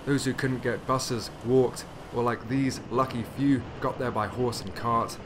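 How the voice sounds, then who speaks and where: A man reporting from a refugee camp near a border, narrating and interviewing in a measured, serious tone. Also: mid-range voice, steady tone, background noise.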